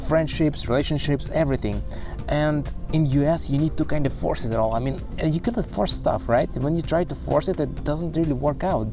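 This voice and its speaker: Russian guy vlogging, chatty and rambly, lots of "like" and "i mean", faint music underneath. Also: mid-range voice. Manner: rambling, many fillers and restarts. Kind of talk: casual vlog monologue. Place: street in a city.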